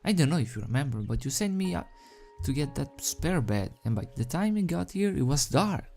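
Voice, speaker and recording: A man's voice: fairly high pitch, big pitch swings, fairly quick, vocal fry, a little mellow, faint music behind, voice pushed up. A man voicing a blunt, questioning little male dragon assistant in a storybook reading. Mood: serious, urging.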